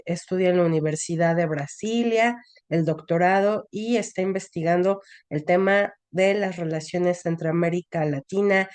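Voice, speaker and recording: A woman's voice: lowish voice, a little mellow, smooth, dry recording. A woman moderates an academic seminar session, thanking one speaker and introducing the next, with frequent "eh" and "este" fillers. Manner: unhurried, hesitant with "eh" and "este" fillers.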